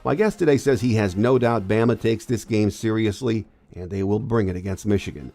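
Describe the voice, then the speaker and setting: A man's voice: fairly low pitch, fairly quick, dark tone, faint music behind. A man hosting a podcast, giving scripted sports commentary while congested with a cold. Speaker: podcast host.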